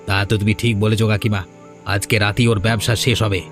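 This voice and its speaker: A man's voice: fairly low pitch, flat delivery, a little fast, faint music behind, natural voice. Man voicing a bullying, cowardly cartoon village schemer. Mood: scheming. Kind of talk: cartoon voice acting.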